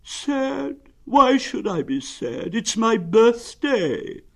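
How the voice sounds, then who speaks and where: A man voicing a gloomy, self-pitying old grey donkey in a children's storybook, with a slow, mournful, sarcastic delivery. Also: very high-pitched, big pitch swings, vocal fry, fairly bright tone, pitched above the performer's own voice, heavily acted. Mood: wounded sarcasm.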